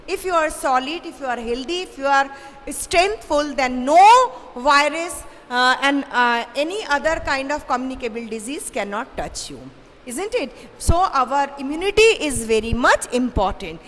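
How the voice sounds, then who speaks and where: A young woman teacher with a Bengali English accent, giving an online science class to children, halting with many fillers and putting questions to pupils. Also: high voice, lively intonation, a bit bright. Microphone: microphone in front of her. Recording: roomy reverb, faint hiss.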